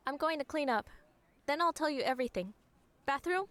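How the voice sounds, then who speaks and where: A woman's voice: high voice, expressive, fairly quick, a bit bright. A woman playing an anxious, self-doubting but determined young college woman in a comic dub, awkward and questioning herself. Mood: flustered. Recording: long reverb, clear background noise.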